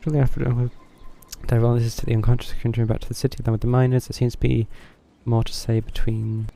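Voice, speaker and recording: A man's voice: mid-range voice, level tone, quick pace, creaky, warm tone. A man giving casual commentary as he streams a game.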